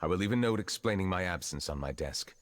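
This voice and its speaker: Solemn, very deep-voiced chief justice. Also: male voice, lively intonation, soft background music. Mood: formal, reserved. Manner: measured, formal, stately diction. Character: solemn, formal male chief justice, centuries old, reserved. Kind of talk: fantasy video game voice acting.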